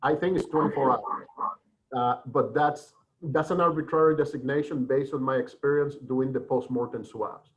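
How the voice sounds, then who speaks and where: Middle-aged male medical expert, discursive webinar delivery, medium pitch, muffled lo-fi call. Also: steady tone, normal speed. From Dominican Republic. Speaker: forensic pathologist, guest speaker. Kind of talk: explanatory webinar talk. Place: online video call. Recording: dull lo-fi web call audio.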